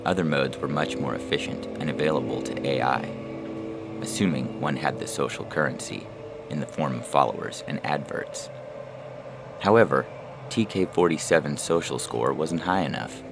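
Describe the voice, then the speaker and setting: A man's voice: very deep voice, normal speed, background noise. A man narrating a scripted science fiction short story. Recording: loud music under the voice. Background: loud music.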